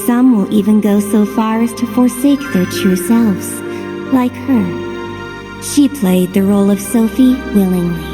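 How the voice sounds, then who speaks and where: An actress playing a solemn, enigmatic seer in a video game, measured and grave, with reverb and music. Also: female voice, medium pitch, lightly acted. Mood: solemn, mysterious. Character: solemn, enigmatic woman seer explaining fate. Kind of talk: dramatic video game acting. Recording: long reverb, loud music underneath.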